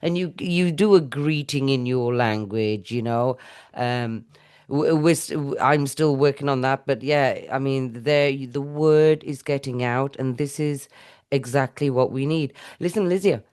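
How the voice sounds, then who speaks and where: A woman's voice: very deep voice, unhurried, darkish tone, clear, resonant tone. A middle-aged woman from India hosting a podcast interview, talking effusively in a rambling way with frequent restarts. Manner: effusive, rambling, with self-corrections. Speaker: podcast host. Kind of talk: casual interview chat.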